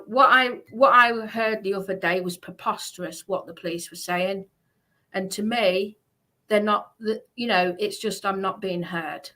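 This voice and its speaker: A woman's voice: mid-range voice, sharp tone. A woman in an aggrieved, emphatic livestream talk, often repeating herself to press her point.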